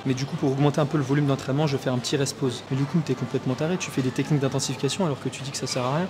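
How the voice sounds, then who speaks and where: A young man rattles off his workout commentary at a breakneck pace, slangy and chatty, peppering it with casual tags. His voice sits at an ordinary middle pitch. Clear background noise from the gym and surroundings runs under everything. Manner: slangy, chatty, fast, with frequent filler tags. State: winded between sets. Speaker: fitness vlogger. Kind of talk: casual gym vlog talking to camera.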